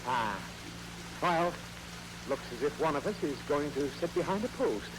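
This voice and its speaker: A man's voice: on the high side, animated, moderate pace, natural voice. An actor playing a long-suffering, pompous father in an old radio comedy, exasperated and lecturing. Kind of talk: 1940s radio comedy acting. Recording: crackly old radio recording.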